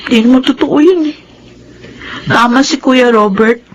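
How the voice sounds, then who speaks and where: A woman acting a young adult daughter in a radio drama, expressive and soft-hearted, with a Filipino accent. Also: high voice, moderate pace, dull audio. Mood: hesitant, ashamed. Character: troubled, self-doubting young adult daughter and sister.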